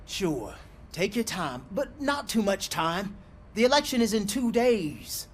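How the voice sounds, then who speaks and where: An actor playing a scheming, pushy city mayor in a video game, blunt and wheedling. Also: male voice, high-pitched, big pitch swings, unhurried, a little hiss or hum, heavily acted. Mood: insistent. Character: pushy, scheming male city mayor seeking election votes. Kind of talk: video game cutscene dialogue.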